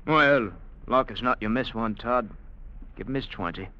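An actor playing a rough, bossy Cockney foreman who chivvies and wheedles in a 1950s radio drama. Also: medium pitch, normal speed, lightly acted. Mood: grumbling, wheedling. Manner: hollering, chivvying workers. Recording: noisy, dull vintage radio broadcast.

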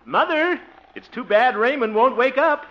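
A man's voice: extremely high pitch, expressive, unhurried. An actor playing a blustery, self-satisfied, jovial man in 1950s radio comedy, theatrical and full of chuckles and exclamations. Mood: exasperated, playful. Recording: muffled, noisy vintage radio recording.

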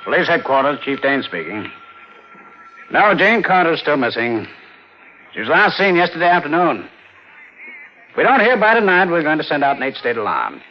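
An actor playing a grave, official but kindly police chief in an old-time radio drama. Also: male voice, a little high, big pitch swings. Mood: brisk, official. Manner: official, deliberate, confiding. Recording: dull, muffled old radio recording.

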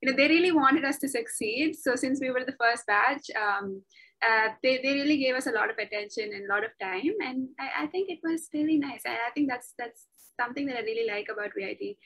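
A woman's voice: sits high, brisk, a bit bright. A young woman in her twenties from Chennai, chatting in an interview about her law studies with many fillers and restarts. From Chennai, India. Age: 20s. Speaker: interview guest, law graduate. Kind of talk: casual interview talk.

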